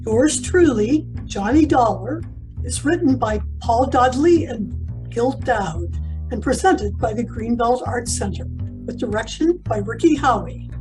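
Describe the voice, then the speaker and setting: A woman's voice: a little high, animated, unhurried, low-quality recording. A woman announcer reading scripted credits in the style of an old-time radio show. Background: guitar music.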